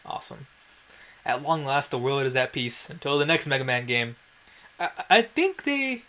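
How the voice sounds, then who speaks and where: A man's voice: mid-range voice, a bit bright. A man giving casual gameplay commentary in a wry, self-deprecating way, with some mild swearing. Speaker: let's play host.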